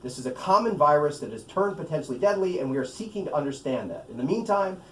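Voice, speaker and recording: An American man, an author reading his own novel to a live gathering with off-script asides, in an animated, wry way. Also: on the high side, fast. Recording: echoey room, distant mic, faint noise.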